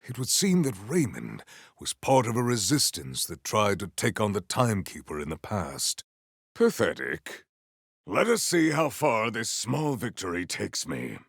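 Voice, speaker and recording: An actor voicing a proud, honourable video game warrior who speaks in a formal, archaic, solemn and theatrical way. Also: male voice, fairly low pitch, very animated, unhurried, gravelly, a bit bright, slightly rough, no echo, studio quality, heavily acted. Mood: brooding, grim. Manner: formal, archaic, grandiose phrasing.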